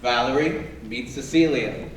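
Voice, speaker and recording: A man's voice: medium pitch, measured pace, close to an everyday voice. Man acting stern prison guard, very echoey. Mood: threatening. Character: stern, bullying prison guard, ex-Marine in his forties. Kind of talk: staged reading of a stage musical. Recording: very echoey hall, distant mic, noisy.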